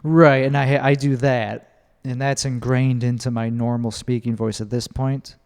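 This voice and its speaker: A young man hosting a casual podcast chat, talking in an awkward, halting way with many restarts. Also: mid-range voice, flat delivery, a little mellow, clear, resonant tone, long reverb tail. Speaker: podcast host.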